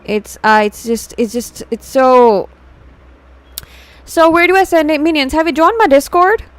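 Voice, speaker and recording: A woman's voice: sits high, lively intonation, unhurried, dry recording. A woman with an Indian accent hosting a casual livestream, chatting informally with viewers and slipping between English and Hindi. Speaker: VTuber livestream host. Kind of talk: casual livestream chat reacting to fan art and viewers.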